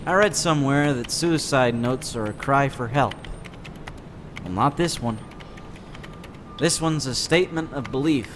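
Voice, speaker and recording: Sardonic, world-weary teen boy, played by a man; matter-of-fact comic-dub read, mid pitch, faintly noisy home recording. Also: unhurried, long pauses, a bit bright, close to an everyday voice. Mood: jaded, sardonic. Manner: jaded, dry, matter-of-fact. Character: gloomy, sardonic teenage boy writing a suicide note. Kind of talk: scripted comic-dub acting, first-person narration and dialogue.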